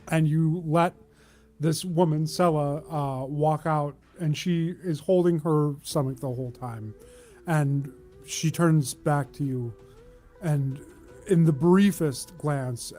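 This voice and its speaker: A man runs a tabletop game as its narrator. His voice sits a little high and he speaks very slowly, halting mid-phrase as he builds descriptions. Faint music plays underneath. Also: dark tone. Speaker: game master.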